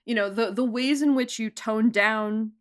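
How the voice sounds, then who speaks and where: A woman's voice: on the high side, a little slow. A young woman who produces and directs, chatting in a podcast interview, laughing often and talking with lots of fillers and restarts.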